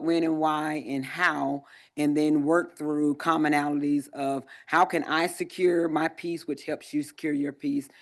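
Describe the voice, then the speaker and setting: A man presenting at a conference, answering audience questions in a measured way with frequent pauses and ums. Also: a little high. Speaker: conference presenter, Air Force technology leader.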